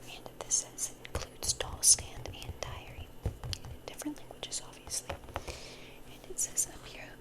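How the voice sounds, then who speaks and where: A young woman whispering in a soft, breathy voice, rambling and repeating phrases as in an ASMR unboxing. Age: young adult. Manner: soft, repeats phrases slowly, chatty over-explaining. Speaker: ASMR content creator.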